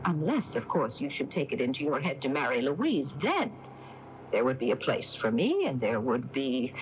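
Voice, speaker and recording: A woman's voice: extremely low pitch, big pitch swings, moderate pace. An actress playing a nagging middle-aged mother in a radio drama, with a pointed, insinuating manner. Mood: resigned. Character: nagging, needling mother, long-suffering wife. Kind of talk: 1980s radio drama acting. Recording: dull, noisy taped AM radio broadcast.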